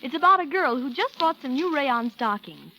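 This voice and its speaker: A woman's voice: high voice, big pitch swings, moderate pace, sharp tone, slight background noise, poor audio. A woman giving a scripted sponsor's message in 1940s radio advertising style. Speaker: sponsor's presenter on a radio show. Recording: vintage 1940s radio broadcast.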